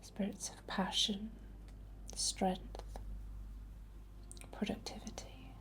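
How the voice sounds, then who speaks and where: A woman whispering a ritual ASMR incantation in a breathy, deliberate way. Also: mid-range voice, little pitch movement, poor audio. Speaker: ASMR witch and Reiki healer.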